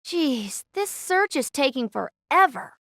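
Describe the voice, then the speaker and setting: A woman's voice: sits high, big pitch swings, slow, fairly bright tone, slight background noise, heavily acted. A woman voicing a brash, protective young girl in an anime game, blunt and exasperated. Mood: impatient.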